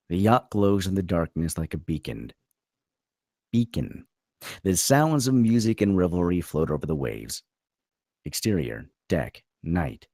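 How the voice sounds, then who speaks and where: A man's voice: deep voice, a little mellow, dry recording, studio quality. A man reading out screenplay stage directions as the narrator of a table read.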